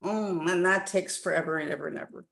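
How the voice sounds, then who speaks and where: A woman's voice: fairly low pitch, quick pace, gravelly, fairly bright tone. A woman in her sixties from Canada, a guest telling her life story in a rambling, casual chat with many restarts.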